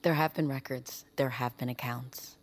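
A woman acting a weary, defiant survivor under interrogation in a naturalistic audio drama, firm and measured. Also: extremely low pitch, steady tone. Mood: guarded.

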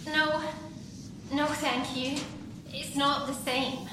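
A woman's voice: sits high, flat delivery, slow pace, noisy background. A woman playing a young scientist and dutiful daughter in a naturalistic audio drama, earnest and a little hesitant. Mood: shaken. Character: young female agronomist, dutiful daughter, lonely survivor.

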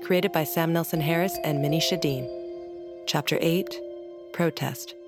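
Female show announcer, scripted read, deep register, dry booth sound, faint music underneath. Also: steady tone, measured pace. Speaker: podcast announcer.

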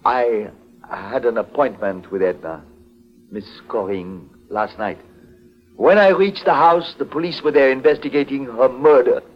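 An actor playing a polite, anxious foreign exporter in a 1940s radio detective drama, speaking formally and hesitantly. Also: male voice, medium pitch, unhurried, lightly acted. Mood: earnest, uneasy. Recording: dull old 1940s radio broadcast.

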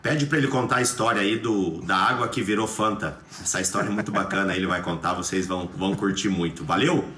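A man's voice: deep voice, fast talker, hardly any pauses, bright, forceful tone, roomy sound, slight background noise. A man sending a recorded tribute, full of affectionate praise. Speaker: former footballer sending a video message.

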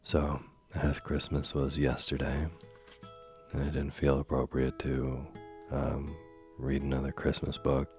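A man hosting a sleep podcast and reading a bedtime story softly, slowly and soothingly, while he is losing his voice. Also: extremely low pitch, completely flat delivery. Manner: slow, gentle and soothing. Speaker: sleep podcast host and reader. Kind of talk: soft bedtime story narration. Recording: faint music behind.

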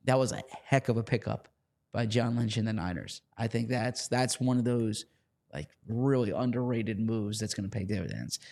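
A man co-hosting a sports podcast, talking casually in a slightly hoarse voice and often repeating words as he starts a thought. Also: lowish voice, moderate pace, a little mellow. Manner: chatty, repeats words when starting sentences. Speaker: podcast co-host.

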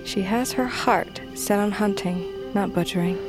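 A woman's voice: medium pitch, unhurried, natural voice. Fantasy audio drama: actress plays young female warrior heir, confident, playful, stylized archaic lines, music bed. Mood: calm, knowing.

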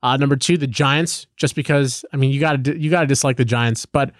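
A man's voice: mid-range voice, brisk, no echo, studio quality. A young man from Los Angeles co-hosting a casual sports chat, joking and mocking rival fans. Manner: joking, mocking, quotes people in put-on voices. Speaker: podcast co-host.

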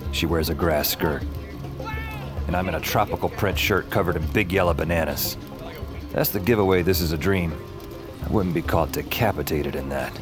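A man's voice: low voice, moderate pace, close to an everyday voice. An actor playing a wry, boastful male protagonist who narrates his wild dream conversationally in an audio drama. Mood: amused, dreamy. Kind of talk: first-person noir-comic audio drama narration. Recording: loud music under the voice.

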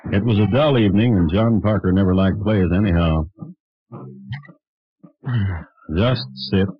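A man narrating a historical crime drama for old radio in a measured, deadpan way. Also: low-pitched, husky, no echo, full character voice. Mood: dry, wry. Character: dry, wry storyteller of historical crimes. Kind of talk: scripted 1950s radio narration. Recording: muffled old radio recording.

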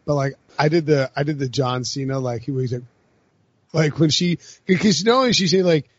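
A man's voice: fairly high pitch, normal speed, husky, no room sound. A man hosting a casual sports talk podcast, rambling with lots of filler words. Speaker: podcast host. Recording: dry lo-fi podcast mic.

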